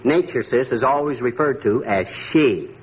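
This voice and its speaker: A man's voice: medium pitch, lively intonation, moderate pace, breathy edge. A man playing a boastful, bumbling American husband, folksy and pompous, in a 1940s radio comedy. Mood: pompous, wisecracking. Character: blustering, boastful, bumbling middle-aged husband. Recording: noisy, muffled 1940s radio recording.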